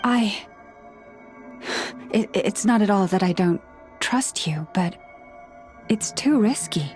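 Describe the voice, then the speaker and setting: Game casting: gentle young priestess, solemn and earnest, medium-pitched, soft measured delivery. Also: female voice, lively intonation, faint music behind. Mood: hesitant, caring. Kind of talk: video game voice acting.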